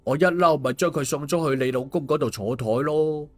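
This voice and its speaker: A man's voice: a little high, brisk, natural voice. Man voicing a glib, cheeky schemer over faint music. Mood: mock innocent. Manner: teasing, mock-sincere, exclamatory. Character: glib, cheeky male schemer needling a woman. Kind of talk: audiobook dialogue.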